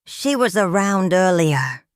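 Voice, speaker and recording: A woman's voice: fairly low pitch, big pitch swings, slow pace, no echo, clean studio sound. Woman voicing a haughty storybook cockerel. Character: vain, preening male farmyard cockerel. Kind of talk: storybook character reading.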